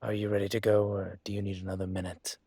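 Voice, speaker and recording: A man playing a suave, commanding dragon mafia boss in an intimate roleplay audio, teasing and deliberate, with a husky, breathy voice. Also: fairly low pitch, flat delivery, dark tone, no echo. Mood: charmed, flirtatious.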